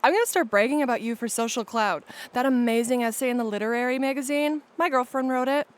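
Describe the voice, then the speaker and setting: A woman playing an affectionate, excitable young partner in an audio play. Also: on the high side, quick pace, close to an everyday voice. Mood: proud, gushing.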